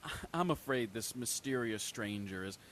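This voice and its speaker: An actor playing a skeptical man doctor who speaks in a measured, doubtful way. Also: medium pitch, animated, fairly bright tone, slight background noise, close to an everyday voice.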